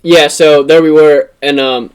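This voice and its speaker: A young American man telling stories in a rambling, animated, swearing way as a podcast co-host in casual chat. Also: on the high side, unhurried, crowd noise. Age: young adult. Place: in person with co-hosts.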